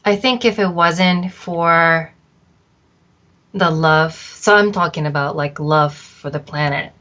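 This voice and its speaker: Brief: middle-aged woman from Japan, interview guest, low voice, reflective and unhurried. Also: fairly bright tone, highs cut off. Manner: thoughtful, measured, with frequent um fillers. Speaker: arts agency founder, interview guest.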